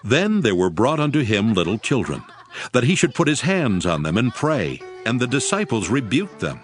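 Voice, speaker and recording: A man's voice: mid-range voice, wildly swooping pitch, normal speed, faint music behind. A man narrating scripture from a script as the narrator of a dramatized reading.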